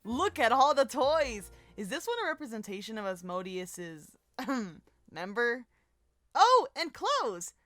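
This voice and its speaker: A woman's voice: a little high, big pitch swings, takes it slowly, fairly bright tone, no echo. A woman co-hosting a fan breakdown, giving recap commentary in a playful, jokey way. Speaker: co-host of a fan breakdown show.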